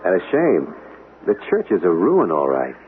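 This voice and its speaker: An actor playing a polite, curious young amateur photographer in a 1970s radio drama. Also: male voice, low-pitched, huge pitch swings, normal speed. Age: young adult. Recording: muffled lo-fi radio recording with faint noise.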